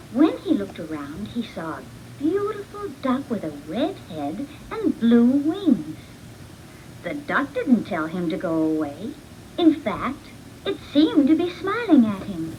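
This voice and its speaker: A woman reading a children's storybook in an expressive, deliberate read-along manner. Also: sits high, a little hiss or hum, low-quality recording. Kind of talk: scripted children's storybook narration.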